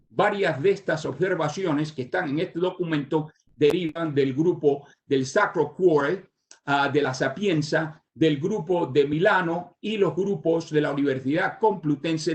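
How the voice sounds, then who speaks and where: Halting older Cuban-American surgeon lecturing. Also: male voice, on the high side. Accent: Cuban Spanish with American English influence. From Cuba, raised in the United States. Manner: halting, with many "ah" fillers and restarts. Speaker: surgeon giving a conference talk. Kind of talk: medical lecture.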